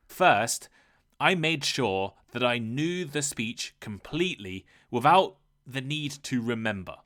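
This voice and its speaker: A man hosting a podcast, talking through a clear, measured, scripted lesson. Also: mid-range voice, sharp tone. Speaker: podcast host. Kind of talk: scripted educational monologue.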